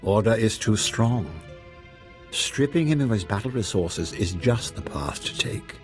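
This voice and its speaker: An actor playing a cold, commanding Sith lord in a video game, speaking with formal authority. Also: male voice, fairly low pitch, expressive, a little slow, soft background music. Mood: stern, calculating.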